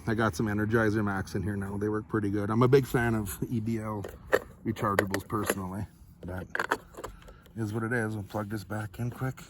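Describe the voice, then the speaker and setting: A man's voice: lowish voice, level tone, brisk, very noisy. A man giving a casual, unscripted product review to viewers in a laid-back, rambling, folksy way.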